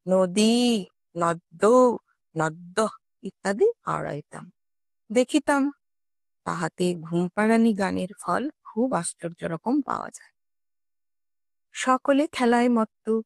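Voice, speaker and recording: A woman narrating a scripted story expressively, in a measured storytelling manner with formal literary diction. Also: mid-range voice, vocal fry, a little mellow, no echo. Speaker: audiobook narrator.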